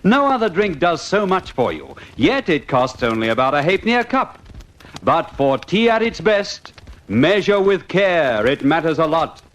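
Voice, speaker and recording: A man reading an old-time radio commercial in a measured, persuasive way. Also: fairly high pitch, sing-song, a bit bright. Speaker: advertisement announcer.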